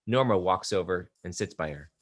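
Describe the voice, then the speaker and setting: A man's voice: low-pitched, animated, moderate pace. A man reading stage directions as the narrator of a play, in a scripted narration style.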